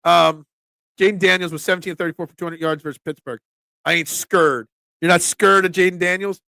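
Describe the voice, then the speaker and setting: Chatty Philly-accented sports host, gravelly. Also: male voice, high voice, fairly quick, no room sound. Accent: Philadelphia. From Philadelphia area. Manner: animated, chatty, swears casually, answers viewers by name. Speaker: sports talk show host. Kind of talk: casual live-stream sports talk, reading chat.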